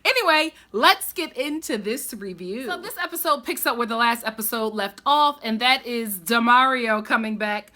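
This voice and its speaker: A woman recapping a reality show in a casual, chatty vlog, animated and quick to laugh, still getting over the flu. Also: a little high. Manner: animated, laughs often, sassy asides. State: recovering from flu.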